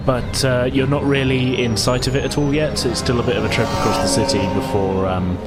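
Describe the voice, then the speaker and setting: A man's voice: medium pitch, steady tone, fairly quick. A man running a tabletop game as game master, improvising descriptive narration in an informal, hesitant way. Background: loud music, vehicle sounds.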